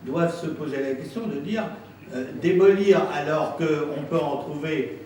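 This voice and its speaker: The voice reaches a distant microphone in a very echoey room with faint noise. It is a man with a slightly high pitch, answering at a council meeting. He explains his case insistently and deliberately, without a script. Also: a little mellow. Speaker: president of an intercommunal council answering questions.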